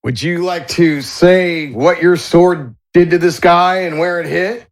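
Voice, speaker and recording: A man's voice: high voice, fairly even intonation, unhurried. A man narrating a tabletop game as the game master. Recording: clearly noisy voice track with clicks.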